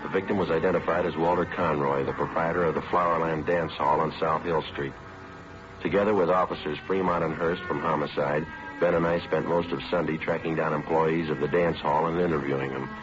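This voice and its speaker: A man's voice: very deep voice, fairly even intonation, brisk. A man acting a terse, weary police detective who narrates his case in clipped, matter-of-fact old radio procedural style. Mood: flat, matter-of-fact. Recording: dull vintage radio recording, music behind.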